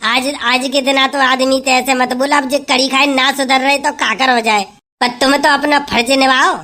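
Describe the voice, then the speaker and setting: A woman's voice: high voice, steady tone, normal speed, sharp tone. A woman voicing a sensible village sister-in-law who consoles and advises in a comic sketch. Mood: consoling.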